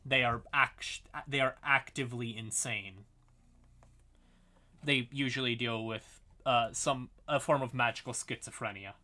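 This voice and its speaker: Man, very bright forceful voice, mid pitch, casual lore explainer, informal and sweary, a little tired, streamer. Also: unhurried. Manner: informal, sweary, apologetic asides. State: tired, yawning. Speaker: livestream host. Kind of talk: casual explainer livestream on fantasy game lore.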